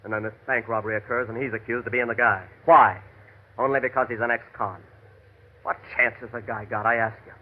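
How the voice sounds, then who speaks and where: A man's voice: medium pitch, steady tone, a little fast, lightly acted. Actor as a tough gunman on tinny old radio. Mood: bitter, argumentative. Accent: American. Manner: slangy, argumentative, menacing. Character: tough, defensive gunman ex-convict posing as a friend. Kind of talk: classic American radio drama acting. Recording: thin, tinny vintage radio recording.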